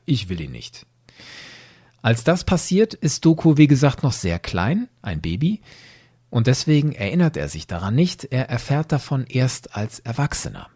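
A man's voice: medium pitch, dark tone, breathy edge, no room sound, studio quality. A man hosting a podcast, narrating and explaining, posing questions and answering them. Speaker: podcast host.

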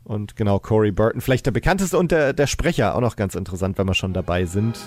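A man's voice: fairly low pitch, expressive, fast talker, a little mellow, no echo, studio quality. A man chatting casually as a podcast host. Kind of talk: casual discussion.

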